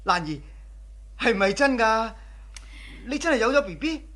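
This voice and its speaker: An actor playing a devoted, solicitous young husband in a radio drama, tender in manner. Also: male voice, very high-pitched, sing-song, unhurried, bright, forceful tone, heavily acted. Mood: astonished, delighted. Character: devoted, doting young husband and shop owner. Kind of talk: Cantonese radio drama acting.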